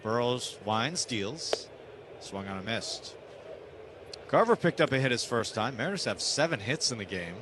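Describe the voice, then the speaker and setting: A man's voice: mid-range voice, moderate pace. A man doing live radio play-by-play commentary of a baseball game. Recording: steady background ballpark noise. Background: outdoor stadium ambience.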